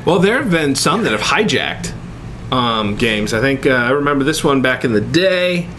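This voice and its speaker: A man with an average-pitched voice banters casually as a co-host. His delivery is informal and unscripted, with a wisecracking streak. Faint background noise sits under his voice. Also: big pitch swings, normal speed, sharp tone. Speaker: co-host of a video game and movie talk show.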